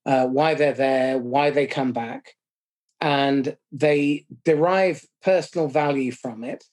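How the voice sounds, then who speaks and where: Husky guy hosting a podcast, steady measured recap, bit self-mocking. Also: fairly high pitch. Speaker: podcast host. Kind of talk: conversational podcast interview.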